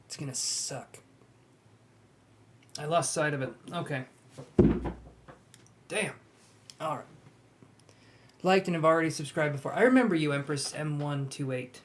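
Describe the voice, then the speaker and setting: A young man reading tarot on a live stream, chatting and gently reassuring his viewers. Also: fairly high pitch, a little slow, long pauses, noisy background. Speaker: tarot reader.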